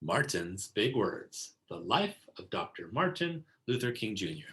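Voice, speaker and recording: A man narrating a children's picture book in a deliberate storytelling delivery, stressing key words. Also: fairly low pitch, very animated, a bit bright, slight background noise. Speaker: read-aloud narrator. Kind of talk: scripted picture-book read-aloud for children.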